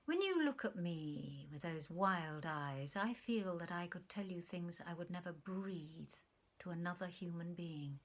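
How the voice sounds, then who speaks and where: A woman's voice: fairly low pitch, lively intonation, measured pace. A woman voicing a self-absorbed, wistful man who reminisces to a former lover, effusive and earnest, in a short story reading. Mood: soft, intimate.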